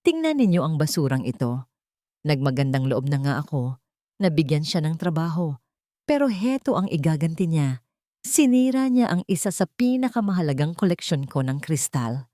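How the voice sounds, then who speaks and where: A woman's voice: low-pitched, big pitch swings, moderate pace, mellow, no room sound, studio quality. A woman narrator reading the lines of a cruel, arrogant rich young woman who gives harsh, contemptuous orders. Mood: cruel, scornful.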